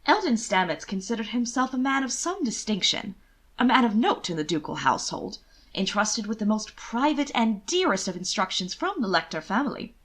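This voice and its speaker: A woman narrates a period story in an expressive, theatrical way. Her voice sits at a medium pitch and moves widely up and down as she reads. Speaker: amateur audiobook narrator. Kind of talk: scripted fiction narration, period romance.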